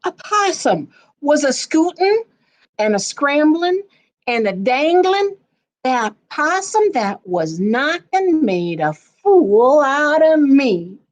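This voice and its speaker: A woman plays an excitable country child from a picture book. The voice is raised and very animated, stretching the words out slowly in a folksy rhythm. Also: high voice, voice pushed up, exaggerated voice. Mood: amazed.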